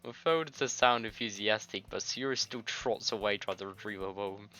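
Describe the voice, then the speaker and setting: A man's voice: lowish voice, moderate pace, bright, forceful tone. A man reading visual novel game dialogue aloud as a let's-play host, in a loose, stumbling way. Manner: loose, stumbling read-aloud with misread words. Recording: music behind the voice. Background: game music.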